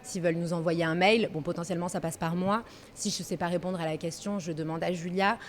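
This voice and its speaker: A woman's voice: lowish voice, steady tone, brisk, a little hiss or hum. A woman in her thirties from Marseille, a pastry workshop manager, talking easily and a little hesitantly in a casual interview. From Marseille, France. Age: 30s. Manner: easygoing, hesitant, thinks aloud.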